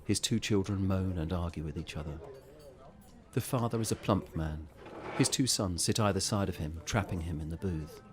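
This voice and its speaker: A man narrates a scripted story in a very low voice. His delivery is measured and descriptive, like a storyteller setting each scene. Noise is clearly audible in the background. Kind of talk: scripted audio drama narration.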